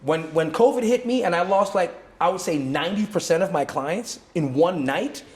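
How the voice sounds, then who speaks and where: A man's voice: high voice, animated, moderate pace. A middle-aged man from Jamaica, a martial arts teacher telling his story in an interview, acting out what others said in shifting voices, with many restarts. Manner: acts out other people's lines in changing voices, many restarts. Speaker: martial arts instructor and guest. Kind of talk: animated storytelling in conversation. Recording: echoey room.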